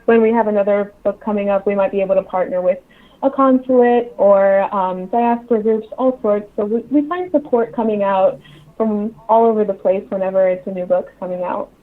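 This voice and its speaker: A woman's voice: mid-range voice, brisk. A woman speaking as an interview guest, explaining things in an informal, conversational way over a remote call. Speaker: interview guest, book publisher. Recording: tinny phone-like call line.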